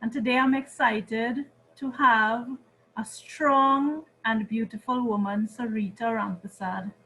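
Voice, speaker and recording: A woman with a Trinidadian accent hosting an interview series, introducing her guest in measured, welcoming words. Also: on the high side, steady tone, sharp tone, low-quality recording. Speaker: interview host. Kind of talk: hosted interview.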